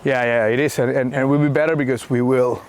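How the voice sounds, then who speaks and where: Venezuelan accent man, mid voice, halting, faint outdoor noise. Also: medium pitch, fairly even intonation. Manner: halting, searching for words, laughs often. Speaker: guest, co-worker. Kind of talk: casual walking chat. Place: outdoors on a coastal walk.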